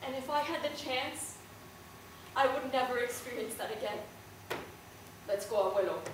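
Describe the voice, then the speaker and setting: A woman's voice: a little high, normal speed, lightly acted. A woman playing an earnest young Puerto Rican-American in a stage play, speaking dramatically and emphatically. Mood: reluctant, defiant. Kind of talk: theatrical stage acting. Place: indoor stage, recorded from far off. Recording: roomy, distant stage recording, faint noise.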